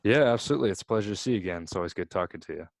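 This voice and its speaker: A man's voice: fairly low pitch, lively intonation, gravelly, no room sound. A man hosting an interview in a casual, upbeat way. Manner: upbeat, brisk greetings. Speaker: interviewer, host.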